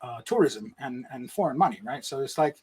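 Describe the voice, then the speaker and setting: A man's voice: medium pitch, expressive, a little fast, darkish tone, breathy edge. A man from Egypt telling a personal story in a casual interview, rambling and swearing, with frequent "right?" tags. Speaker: podcast guest.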